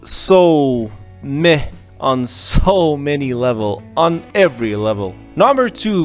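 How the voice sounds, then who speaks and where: A man talking on his own podcast in a casual, opinionated monologue. His voice sits a bit high for a man, and his delivery is animated, with pauses and small restarts. Faint guitar music plays softly underneath. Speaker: podcast host.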